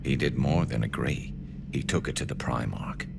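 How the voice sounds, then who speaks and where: An actor playing a seasoned, loyal alien military officer in a video game, gravelly and measured, with dry understatement. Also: male voice, very deep voice, background noise, full character voice. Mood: wry, resolute. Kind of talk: video game dialogue acting. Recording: voice over loud ship ambience.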